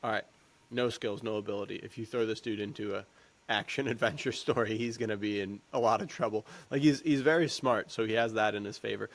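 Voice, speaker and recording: A man co-hosting a podcast, talking in a casual, rambling, informal way. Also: lowish voice. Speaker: podcast co-host. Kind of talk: casual discussion.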